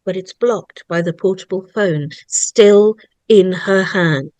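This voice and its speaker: A woman narrating a horror screenplay in a dramatic, deliberate way, building suspense in short phrases. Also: mid-range voice, darkish tone, dry recording.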